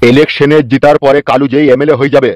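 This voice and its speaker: A man's voice: mid-range voice, level tone, fast, a little mellow, no room sound, natural voice. A man playing a scheming, lovestruck crooked uncle in comic cartoon dialogue, melodramatic, coarse and boastful.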